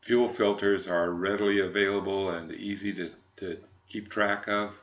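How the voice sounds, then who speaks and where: An American man, middle-aged or older, giving an unscripted sales walkthrough in a halting, measured, formal manner. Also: deep voice, steady tone, highs cut off. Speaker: yacht broker presenting a boat.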